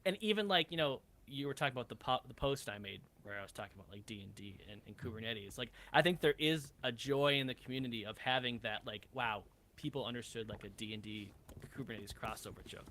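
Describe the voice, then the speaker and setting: A man with a bright, forceful voice and a medium pitch chats as a podcast guest. He is animated and casual, rambling through stories with enthusiasm. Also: fast talker, a little hiss or hum. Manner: rambling, enthusiastic storytelling with acted-out quotes.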